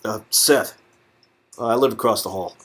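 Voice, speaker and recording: A man acts out an easygoing young neighbor in a story game. He is husky and casual, teasing lightly with hesitant little starts. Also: fairly low pitch, moderate pace, a bit bright. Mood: friendly teasing. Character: laid-back, teasing young man from across the hall.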